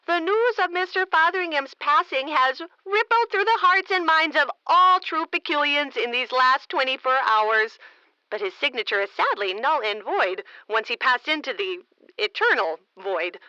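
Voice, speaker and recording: A smug female small-town mayor in a comedic radio drama. Her voice is high and swings widely in pitch, recorded close and dry. She is patronising, wrapping every dig in sugary politeness. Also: lively intonation, moderate pace, pushed and bright, dry recording, highs cut off. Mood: falsely sympathetic. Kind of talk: comedic audio drama acting.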